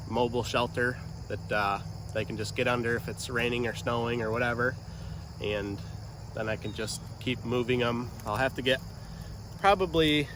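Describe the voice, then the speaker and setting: Stuffy-nosed man vlogging in a windy pasture. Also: medium pitch. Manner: rambling, hesitant, many ums. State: stuffy with a head cold. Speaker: farm vlogger. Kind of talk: casual outdoor vlog. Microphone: camera mic, no external mic.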